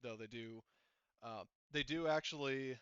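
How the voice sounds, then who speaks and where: A man's voice: medium pitch, a little slow, a bit bright, smooth, dry recording, muffled recording. A man running a tabletop roleplaying session as game master, talking conversationally and often searching for his words.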